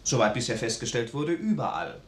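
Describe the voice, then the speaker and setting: An actor playing a sober, precise scientist reporting findings in a radio drama, with a dry, factual manner. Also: male voice, mid-range voice, lively intonation, brisk, lightly acted. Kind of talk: mid-century German radio drama acting. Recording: echoey room.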